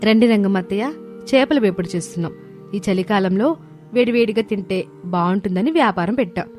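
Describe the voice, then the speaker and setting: A woman's voice: mid-range voice, expressive, a little fast, darkish tone, soft background music, lightly acted. A woman as a polite young village daughter-in-law. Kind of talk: dramatised moral story dialogue.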